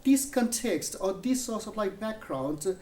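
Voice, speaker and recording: A man with a Nepali accent delivers a measured university lecture, pausing often on fillers and restarting phrases. His voice carries the echo of a large room and sounds set back from the microphone, with a faint hum underneath. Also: high-pitched, animated, darkish tone. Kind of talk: academic public lecture. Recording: echoey room, mic at a distance.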